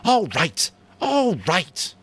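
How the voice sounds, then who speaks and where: A man voicing an arrogant, bullying boy who leads a group of hunters, sneering and loud, in a dramatic audiobook reading. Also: high-pitched, sing-song, extremely slow, pitched above the performer's own voice, heavily acted. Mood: grudging.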